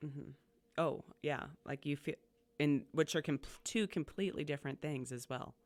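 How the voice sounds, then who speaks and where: A woman's voice: low voice, normal speed, a little mellow, no echo. A woman hosting a casual coaching podcast, chatty and upbeat as she asks her guest questions. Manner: chatty and upbeat, many fillers and restarts. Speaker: podcast host, community college coach. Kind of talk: casual interview conversation.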